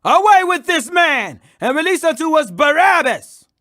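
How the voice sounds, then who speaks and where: A man voicing an angry mob demanding an execution in a scripture audiobook, with shouted, drawn-out cries. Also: extremely high pitch, big pitch swings, pitched above the performer's own voice, heavily acted. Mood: furious.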